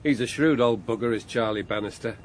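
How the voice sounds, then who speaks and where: An actor playing a blunt, combative left-wing politician in a radio drama, gruff, sardonic and plain-spoken. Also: male voice, mid-range voice, fairly quick, a little hiss or hum, natural voice. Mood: scornful, combative.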